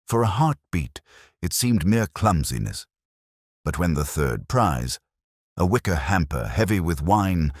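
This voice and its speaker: A man reading scripted mystery fiction in a measured, literary storytelling manner. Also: very deep voice, dry recording, clean studio sound. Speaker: narrator.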